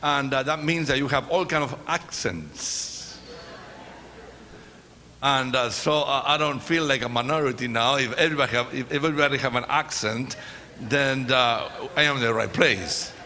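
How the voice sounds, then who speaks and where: Chilean guy w spanish accent preaching, bright punchy voice, hesitates a lot, big echoey church. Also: medium pitch, normal speed. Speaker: guest preacher. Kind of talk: sermon with informal, joking remarks to a congregation. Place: large church, speaking from the stage. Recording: reverberant church hall, congregation noise.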